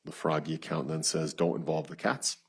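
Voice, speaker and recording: A man testifying as a detective in court, reading written messages aloud in a flat, procedural, matter-of-fact way with a husky voice. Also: very deep voice, brisk. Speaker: lead detective testifying as witness.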